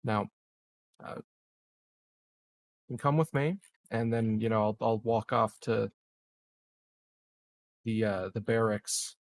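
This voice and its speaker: Man as male colony leader, very slow, gravelly, formal, halting, tabletop roleplaying character. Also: fairly low pitch, steady tone, no room sound. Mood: halting, official.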